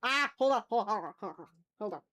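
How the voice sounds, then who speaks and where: A woman with a mid-pitched voice hosts a casual livestream. She rambles informally, half talking to herself and half to viewers, with the odd mild swear. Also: animated, brisk, bright, forceful tone. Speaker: art livestream host. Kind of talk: casual rambling chat while drawing.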